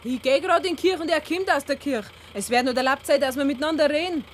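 A woman's voice: sits high, fast talker, slight background noise, natural voice. An actress playing a defiant, coaxing young village woman with a Bavarian accent in a radio drama, frank and unapologetic. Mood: bold, teasing. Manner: cajoling, unapologetic. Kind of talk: German dialect radio drama acting.

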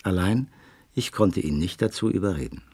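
A man playing a reflective, genteel gentleman storyteller, narrating a German radio crime drama in an unhurried, literary manner. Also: deep voice, expressive, breathy edge, exaggerated voice.

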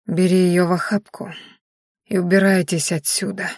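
Woman acting a faint, hoarse dying young woman. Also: lowish voice, level tone, moderate pace, dry recording, clean studio sound. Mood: hoarse, commanding. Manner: weak, quietly commanding. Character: dying young woman, weary, self-sacrificing and resolute.

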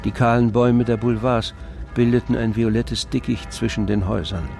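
A man narrating a literary radio drama in measured, deliberate phrases. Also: mid-range voice, steady tone, gravelly, a little mellow, faint music behind.

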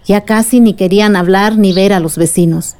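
A woman's voice: medium pitch, fairly even intonation, moderate pace, dark tone, slight background noise, close to an everyday voice. An actress playing a woman recounting village events in a radio drama. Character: woman recounting village troubles to an eager listener.

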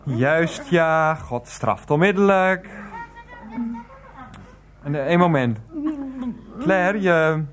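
A man's voice: a little high, slow, long pauses. A man playing a flustered, lovestruck husband in his thirties in a radio play, hesitant, apologetic and harried. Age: 30s.

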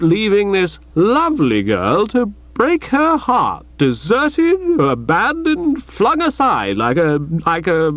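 A pompous young gentleman in high-pitched indignation, as a male narrator puts him on, his voice leaping up and down as he lectures and snorts. The sound is dry and close, yet dull and muffled. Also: no echo. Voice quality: huffy, declamatory, snorting. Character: indignant upper-class young Englishman, pompous.

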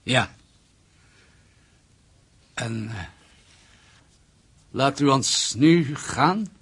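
Cornered informer for radio play: very husky man, mid register, earnest, subdued, resigned. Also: medium pitch, lively intonation, extremely slow, a bit bright, heavily acted. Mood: resigned, anxious. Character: anxious, resigned male informer pleading for his family. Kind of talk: radio drama acting.

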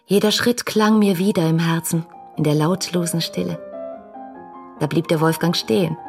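A woman's voice: fairly low pitch, fairly even intonation, normal speed, vocal fry, faint music behind. An actress playing an ardent young woman in a radio play, reading adoring love letters in an intimate, rapturous manner. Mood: dreamy, intimate. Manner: rapturous, intimate, shifting between hushed and exclamatory.

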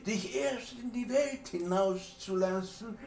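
A man's voice: high-pitched, takes it slowly. An actor playing a wheedling, scheming older male dwarf smith in a theatrical fantasy radio drama. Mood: excited. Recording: roomy, distant, under loud music.

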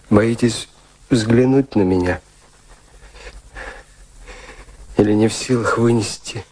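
Guy acting a despairing lovesick man, slow brooding delivery, radio drama, bit of background noise. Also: fairly low pitch, darkish tone, natural voice. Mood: bitter farewell. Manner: theatrical, brooding, commanding.

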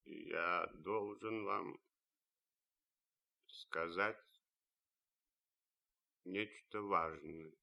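An actor playing a disillusioned, anguished army officer in a radio drama, grave and earnest, speaking haltingly with weighty pauses. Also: male voice, medium pitch, a bit bright. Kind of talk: old Russian radio drama acting.

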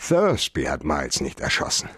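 An actor playing a hard-boiled, cynical private detective in a radio crime drama, speaking in a terse, sardonic, interrogating manner. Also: male voice, extremely low pitch, huge pitch swings, moderate pace, fairly bright tone, slightly rough, no room sound, clean studio sound, heavily acted. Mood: coldly accusing. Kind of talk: 1990s German radio crime drama acting.

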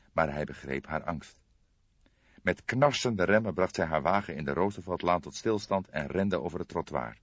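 A man reading a crime novel as an audiobook narrator, in scripted storytelling style. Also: deep voice. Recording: dull, roomy audiobook recording.

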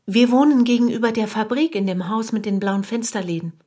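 A woman's voice: fairly high pitch, fairly quick, dark tone, natural voice. A woman playing a gossiping but dutiful hotel maid in a radio drama, confiding and matter-of-fact. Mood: confiding, earnest.

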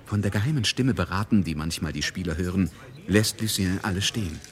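An actor narrating a radio drama in a theatrical, suspenseful and emphatic style. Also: male voice, deep voice, a little fast, close to an everyday voice. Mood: tense, suspenseful. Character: dramatic male storyteller following gamblers' fortunes. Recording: radio drama with crowd behind.

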